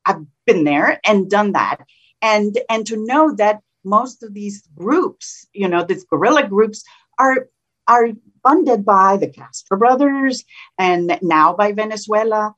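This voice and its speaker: A woman with a slight Colombian Spanish accent, a state senator talking in an interview in an animated, combative way with frequent restarts. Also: medium pitch, slow, dull audio. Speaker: state senator, political guest. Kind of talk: casual political interview.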